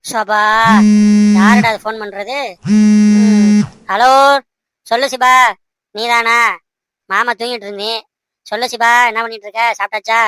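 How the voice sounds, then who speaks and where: A woman's voice: on the high side, flat delivery, unhurried, pauses often, a bit bright, smooth, no echo, rough recording. A woman voicing an insistent, bossy young fiancé in an amateur cartoon dub, repeating short commands and questions. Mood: coaxing, curious. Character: young man, the heroine's fiancé, bossy and coaxing.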